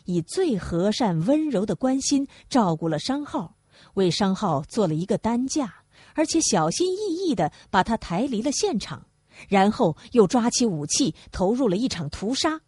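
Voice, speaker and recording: Woman reading an audiobook, pretty animated, slightly rough husky voice, dry close sound. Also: mid-range voice, moderate pace, no echo. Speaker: audiobook narrator. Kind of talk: scripted audiobook narration.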